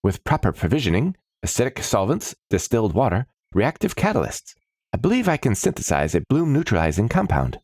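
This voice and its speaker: Man voicing an eager, high-pitched brainy woman alchemist. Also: a little fast, no echo, studio quality. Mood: fervent pride. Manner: verbose, precise scientific jargon, excitable. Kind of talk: fantasy story character dialogue.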